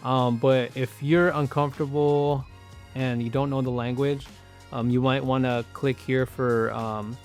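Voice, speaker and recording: A man's voice: medium pitch, flat delivery, measured pace. Middle-aged American male creator, mid-range voice, conversational how-to delivery over faint background music. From San Francisco Bay Area, US. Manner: conversational, explanatory, addresses viewer directly. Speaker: content creator, video host. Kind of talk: casual instructional talk to camera.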